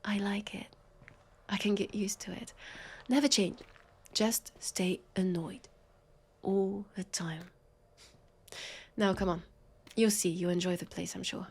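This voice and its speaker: Slow, husky French-accented vampire played by a woman. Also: mid-range voice, steady tone, a little mellow. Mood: teasing. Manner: sardonic, sighing, unhurried. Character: sardonic, weary centuries-old female vampire police officer. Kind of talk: intimate scripted audio roleplay addressed to listener.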